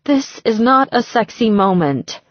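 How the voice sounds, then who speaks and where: A woman's voice: a little high, sing-song, unhurried, no echo, dull audio, voice pushed up. A woman voicing a distraught young bride in an audiobook, blunt and whiny, with emphatic, exasperated complaints. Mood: embarrassed, exasperated.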